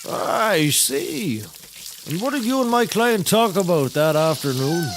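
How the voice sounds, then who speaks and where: A man's voice: sits high, very animated, unhurried, very noisy, full character voice. A man playing a pompous, folksy, bumbling defence lawyer with theatrical, rambling courtroom patter in a scripted comedy. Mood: probing.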